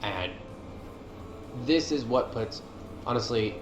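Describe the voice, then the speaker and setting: A man's voice: medium pitch, lively intonation, slow. A man giving casual, informal commentary on game cutscenes, talking like an enthusiastic fan. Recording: roomy echo, music behind. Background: game cutscene music.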